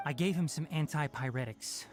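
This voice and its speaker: A man voicing a young forest watcher in a video game, a practical, caring healer who speaks with composed, gently scolding authority. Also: a little high, moderate pace, faint music behind, natural voice. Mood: matter-of-fact.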